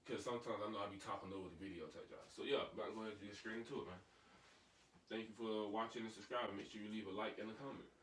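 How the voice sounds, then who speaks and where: A man hosting a reaction video, talking to viewers in casual, animated slang. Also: fairly low pitch, very fast, recorded from a distance, a little hiss or hum. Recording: roomy, away from the mic.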